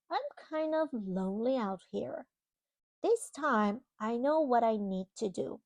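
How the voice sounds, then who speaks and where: Slow, high-pitched woman reading a storybook. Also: no echo. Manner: expressive, explains the pictures to little listeners. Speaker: children's storybook reader. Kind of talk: read-aloud storytelling to young children with simple asides.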